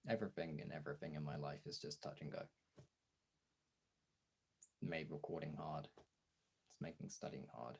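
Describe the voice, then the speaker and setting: A young man streamer talking casually and frankly in a gravelly, husky voice, halting at times and swearing freely. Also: low-pitched, a little mellow. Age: young adult. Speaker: streamer and voice actor chatting to followers. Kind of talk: casual candid chat.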